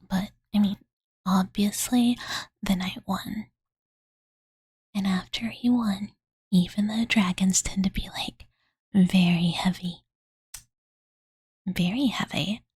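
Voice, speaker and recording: A woman voicing a cute, devoted young dragon girl who softly tells her master a bedtime story in a cozy ASMR roleplay, with cutesy, filler-heavy speech. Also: mid-range voice, fairly even intonation, takes it slowly, pauses often, no room sound, clean studio sound. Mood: tender, sleepy. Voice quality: soft breathy whisper. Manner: valley-girl filler-laden, soothing, cutesy third-person speech. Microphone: close-up studio condenser microphone, dry.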